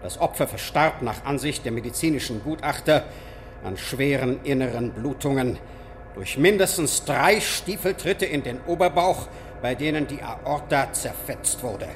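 An actor playing a stern judge delivering a verdict in formal, measured tones in a radio play. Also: male voice, medium pitch, lively intonation, slight background noise, lightly acted.

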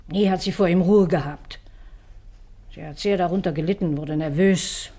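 A bitter older housekeeper in a radio crime play, husky, curt, hard. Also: female voice, lowish voice, normal speed, dark tone. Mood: resentful. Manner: curt, embittered.

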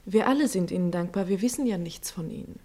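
A woman playing a shy, gentle nurse in a radio play, speaking softly and hesitantly. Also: lowish voice, animated, fast. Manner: soft, hesitant, often hushed. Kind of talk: 1950s radio play acting.

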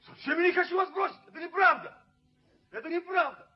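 An actor playing an earnest, evasive young fiancé in a radio play, halting and repeating himself, stubborn and easily flustered. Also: male voice, very high voice, expressive. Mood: indignant. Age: young adult. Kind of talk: Soviet radio play acting. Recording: dull, muffled 1970s radio recording.

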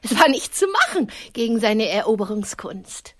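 Bossy, romantic woman with a husky high voice. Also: big pitch swings, normal speed, fairly bright tone. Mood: dreamy, boastful. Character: lonely, bossy, boastfully romantic woman dreaming of a prince. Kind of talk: radio play acting.

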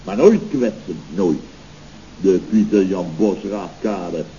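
Mellow, blustering pompous contractor. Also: male voice, deep voice, expressive, takes it slowly. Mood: smug, self-amused. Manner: blustering, grandiloquent, rambling. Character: pompous, indignant, self-satisfied male building contractor. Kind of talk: scripted radio comedy acting. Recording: dull, noisy old radio recording.

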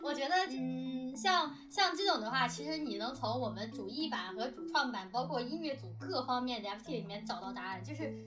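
A woman chatting casually and answering questions in an animated, giggly, talkative way. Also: sits high, fairly quick, few pauses. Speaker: audio drama planner, lyricist and songwriter. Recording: distant mic at times, music behind. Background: music.

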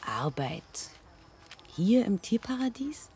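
A woman's voice: mid-range voice, sing-song, slow, darkish tone. Casting: dreamy, uneasy woman, radio drama, mid-range voice slipping into whispers, slight outdoor background noise. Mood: curious. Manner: breathy half-whispers, wistful. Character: dreamy, anxious woman in a futuristic paradise park. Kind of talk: German science-fiction radio play acting.

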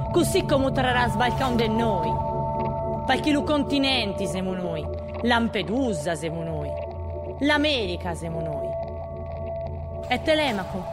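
A woman with a Sardinian accent playing a defiant, impassioned villager in a declamatory audio drama monologue. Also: on the high side. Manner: declamatory, challenging. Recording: loud music behind voice.

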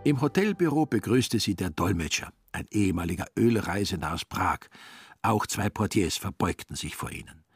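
A man's voice: low voice, lively intonation, moderate pace, soft background music. A man narrating a literary radio drama.

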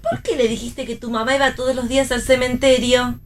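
A woman's voice: high voice, flat delivery, fairly quick, lightly acted. An Argentine woman playing a testy, dry-witted young pregnant wife in a radio play reading. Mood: dry, teasing. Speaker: actress in a read-theatre radio play.

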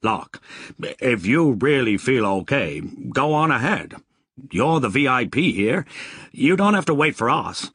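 A man's voice: medium pitch, sing-song, unhurried, fairly bright tone, breathy edge, no room sound, clean studio sound, pitched above the performer's own voice, heavily acted. A man doing a chatty Boston worker. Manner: chatty, joking. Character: friendly Boston rest-stop worker, queasy, chatty.

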